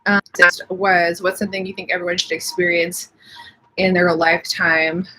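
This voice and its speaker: A woman's voice: lowish voice, level tone, moderate pace, pushed and bright, rough recording. A young woman with slightly sniffly allergies, chatting casually from home on a live stream and reading out viewer comments. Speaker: livestream host.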